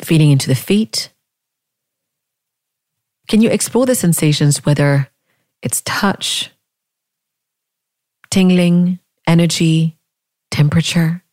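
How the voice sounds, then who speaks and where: Slow, mellow woman guiding meditation. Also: low-pitched, dry recording. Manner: gentle, invitational questions with long pauses. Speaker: meditation teacher. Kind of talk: guided meditation.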